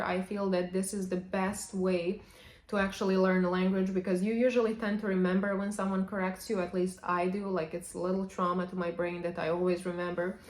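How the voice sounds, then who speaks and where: Woman w/ giving language tips to camera, chatty, keeps saying however, echoey room. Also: medium pitch. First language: Serbian. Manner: chatty, opens many sentences with "however". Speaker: language vlogger. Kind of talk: casual advice to camera.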